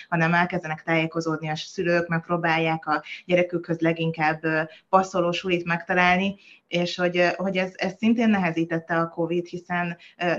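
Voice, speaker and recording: A woman's voice: lowish voice, a bit bright, muffled recording. A woman child psychologist, a podcast guest, explaining things in a hesitant way with frequent filler sounds. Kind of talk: conversational interview.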